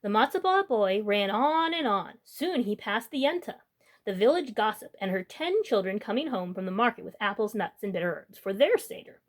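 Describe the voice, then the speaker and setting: A woman's voice: mid-range voice, sing-song, normal speed. A woman hosting a storytime, reading a children's picture book aloud as narrator.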